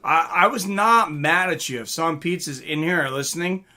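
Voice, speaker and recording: A man's voice: on the high side, expressive, normal speed, bright, forceful tone. A man hosting a live stream in a casual, rambling, mildly profane way, chatting and answering viewer comments.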